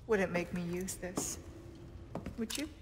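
A woman's voice: lowish voice, slow. A woman playing a cool, commanding secret agent in a video game cutscene, controlled, sly and clipped. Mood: cool, teasing. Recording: long reverb with loud background noise.

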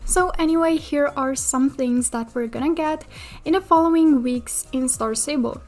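A woman with a high-pitched voice hosts a gaming video in a casual commentary style. She moves between chatting and reading announcements aloud. Music plays behind her voice. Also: moderate pace, darkish tone. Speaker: gaming video creator and host. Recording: voice over background music. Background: background music.

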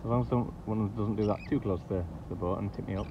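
Rambling man vlogging outdoors, lowish voice, dull camera sound with wind and water. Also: fairly even intonation, fast. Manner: chatty, rambling, frequent "you know". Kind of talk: casual outdoor vlog chat. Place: outdoors, kayak at sea then beach. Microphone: action camera.

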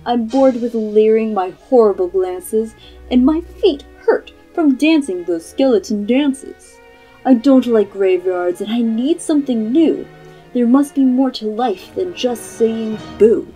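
A woman's voice: a little high, lively intonation, a little slow, warm tone, soft background music, low-quality recording. A woman voicing a bored, weary skeleton man from a storybook, complaining emphatically. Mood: fed up.

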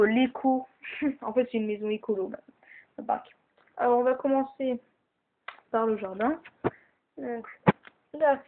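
A woman chatting casually with lots of fillers as she shows off a toy model at home, filmed on a handheld camera held close. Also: a little high. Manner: chatty, hesitant, many fillers. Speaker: toy builder showing a model. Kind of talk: casual show-and-tell narration. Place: indoors at home. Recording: tinny lo-fi camera audio, hissy.

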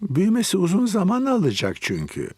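A man's voice: sits high, wildly swooping pitch, a little fast, a little mellow, husky, exaggerated voice. A man voicing a kindly old grandfather pig in a children's cartoon, patient and reassuring. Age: older.

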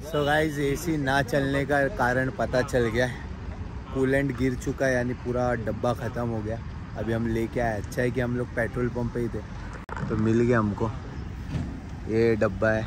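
A man's voice: medium pitch, level tone, moderate pace. Male vlogger, mid-range voice, informal and chatty, mixes in English, noisy on-location sound. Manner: informal Hindi mixed with English phrases. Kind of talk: casual travel vlog, addressing viewers. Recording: noisy outdoor recording with vehicle sounds.

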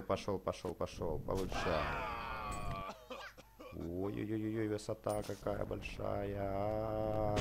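A man giving excited, chatty game commentary for children, exclaiming, repeating words and addressing his viewers. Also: on the low side, gravelly, background noise. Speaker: gaming channel host.

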